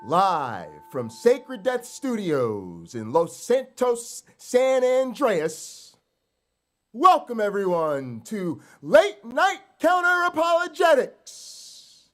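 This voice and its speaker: A man announcing the opening of a late-night show and introducing its host in a drawn-out, dramatic way. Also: high-pitched, very animated.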